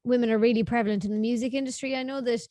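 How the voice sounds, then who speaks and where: Female Irish podcast host, raised pitch, relaxed chatty interviewing, dry close sound with no room. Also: racing, dry recording. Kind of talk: casual conversational interview.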